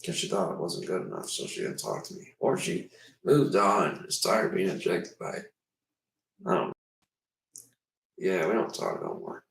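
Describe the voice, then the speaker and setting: A man's voice: deep voice, huge pitch swings, normal speed, vocal fry, long pauses, distant mic. A man in his twenties chatting casually on a livestream, rambling and meandering with self-deprecating remarks. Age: 20s. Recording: echoey room, away from the mic.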